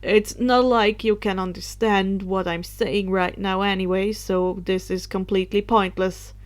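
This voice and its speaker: Wry teenage boy turned wraith played by a female narrator, huffy and awkward, lowered pitch, storybook reading. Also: steady tone, measured pace, lightly acted. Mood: huffy, resigned. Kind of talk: audiobook character voice.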